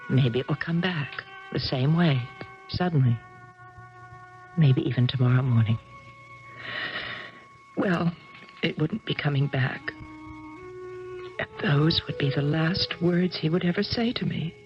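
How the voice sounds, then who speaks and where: A woman's voice: sits low, a little slow, long pauses. An actress playing a bitter, weary woman tennis star in a 1970s radio drama, who also narrates. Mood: numb, resolved. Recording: dull lo-fi radio broadcast with music.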